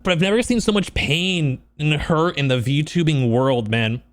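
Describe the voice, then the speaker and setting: An upset man with a voice a little higher than average, talking unscripted to his viewers. He sounds close to tears, speaks haltingly and often repeats words as he earnestly works through his thoughts. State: emotional, upset, close to crying. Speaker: streamer and video creator. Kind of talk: unscripted personal vlog monologue.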